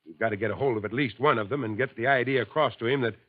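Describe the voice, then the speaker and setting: An actor playing a calm, kindly, middle-aged fire chief in a 1950s radio drama. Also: male voice, medium pitch, racing, no echo, lightly acted. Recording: tinny old radio broadcast.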